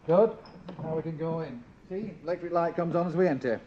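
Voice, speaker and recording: An actor playing an earnest, proud, eccentric inventor with a Northern English accent and clipped phrasing, in a radio drama. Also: male voice, a little high, fairly quick, mellow, lightly acted. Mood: proud, precise. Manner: zealous, clipped, drops articles. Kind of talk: British radio drama acting. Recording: dull, noisy old radio drama recording.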